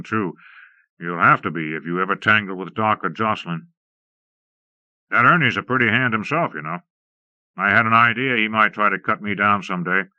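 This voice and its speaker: Man acting a wry, cocky western outlaw, bright-edged. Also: on the low side, no echo, muffled recording. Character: cocky captured outlaw leader, wry and smiling. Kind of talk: western audiobook character acting.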